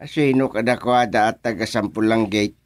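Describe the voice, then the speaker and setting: A man's voice: lowish voice, steady tone, normal speed, close to an everyday voice. A man acting an agreeable village husband and father in an Ilocano radio comedy drama. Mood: agreeable, planning.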